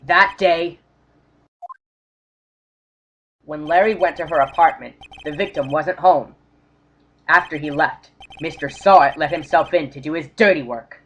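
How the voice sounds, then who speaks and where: An actor playing an earnest, excitable young male defense lawyer with dramatic emphasis in a video game fandub. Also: mid-range voice, moderate pace, highs cut off, lightly acted. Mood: relieved, explanatory. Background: game music.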